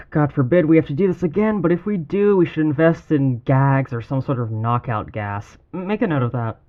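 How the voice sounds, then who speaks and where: A man's voice: fairly high pitch, normal speed, narrow, tinny audio, lightly acted. A man playing an exasperated, wry mafia boss in a conversational roleplay audio drama. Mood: harried, placating.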